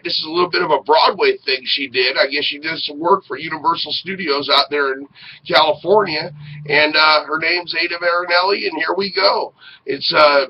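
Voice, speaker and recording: A man's voice: fairly high pitch, creaky, slight background noise. A middle-aged man casually introducing artists as a web radio host, laid-back and informal. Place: indoors, small room with poster-covered walls. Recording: dull lo-fi webcam audio.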